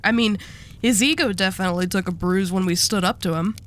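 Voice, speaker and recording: A woman's voice: mid-range voice, a little fast, a bit bright, natural voice. A woman playing a commanding yet haunted, sardonic female mafia boss in an audio roleplay. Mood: sardonic, serious.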